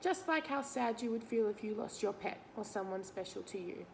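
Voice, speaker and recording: A woman's voice: mid-range voice, lively intonation, normal speed, gravelly, noisy background. A woman reading a picture book aloud to children, explaining it simply and putting questions to the listener. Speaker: read-aloud teacher.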